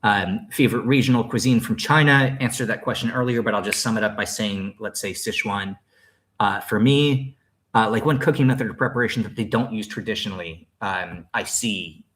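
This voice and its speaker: A man's voice: on the low side, brisk, fairly bright tone. A man in his thirties hosting a casual livestream, answering viewer questions in a rambling, chatty way full of fillers and restarts. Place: home in Thailand.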